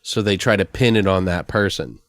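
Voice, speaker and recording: A man's voice: low voice, fairly quick, fairly bright tone, dry recording, studio quality. A man co-hosting a podcast, casually improvising a comic story in a rambling, profane way. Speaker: podcast co-host. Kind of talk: casual comic brainstorming of a made-up slasher story.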